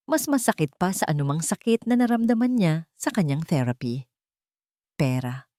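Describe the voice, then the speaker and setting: A woman narrates a dramatic romance tale. Her voice sits on the lower side, and the recording is dry with hardly any room sound. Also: a little mellow, dry recording. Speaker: story narrator.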